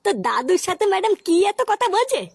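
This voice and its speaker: A woman's voice: very high voice, sing-song, fast talker, gravelly, a little hiss or hum, full character voice. A woman voicing a brash, crude, complaining schoolboy in a comic cartoon dub. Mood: irritated, cheeky. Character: brash, foul-mouthed schoolboy, cheeky and irritable. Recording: music behind the voice.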